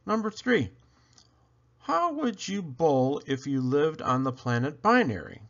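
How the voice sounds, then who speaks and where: A man reading a children's picture book aloud in an animated storyteller style, with dramatic, emphatic phrasing and playful swoops. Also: a little high, measured pace, muffled recording.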